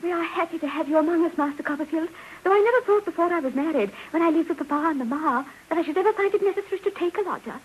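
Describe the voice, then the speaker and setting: A woman's voice: very high voice, rapid-fire, dull audio. An actress playing a long-suffering, talkative English wife and mother in a radio drama, fussily genteel and rambling. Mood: genteel, plaintive. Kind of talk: 1950s radio drama acting. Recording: faintly noisy old radio recording.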